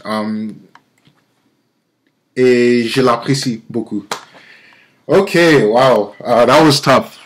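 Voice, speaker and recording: A young man in his twenties with an American accent and English as his first language speaks in a medium-pitched voice. He talks casually to the camera like an amateur vlogger, often searching for words and restarting his phrases. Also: a little hiss or hum, low-quality recording. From Nigeria-born, grew up in the United States. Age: 20s. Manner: halting, searching for words, self-correcting. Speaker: amateur video blogger.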